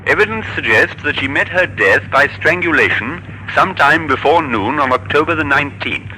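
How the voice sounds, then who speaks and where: A man's voice: a little high, animated, normal speed, sharp tone. A man playing an official police announcer, reading a formal, clipped bulletin in 1950s radio style. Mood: official, urgent. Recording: faintly noisy old radio recording.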